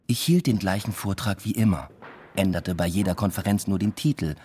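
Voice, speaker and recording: An actor playing a weary, introspective academic who tells his own story in measured radio drama narration. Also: low-pitched, a little mellow, husky. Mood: dry, observant. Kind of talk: scripted first-person radio drama narration.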